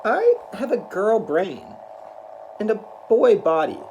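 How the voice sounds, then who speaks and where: A man speaking to children in an earnest, careful, deliberate way, as in a read-aloud. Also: sits high, very animated, darkish tone, a little hiss or hum, low-quality recording. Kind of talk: read-aloud of a children's picture book with spoken introduction.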